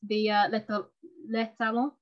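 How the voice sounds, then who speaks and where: A woman's voice: mid-range voice, little pitch movement, normal speed. A woman, giving an informal, chatty, self-deprecating online presentation. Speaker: presenter giving a talk on fountain pens. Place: indoor room at home, on a video call. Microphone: video call microphone. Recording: dull lo-fi video call audio.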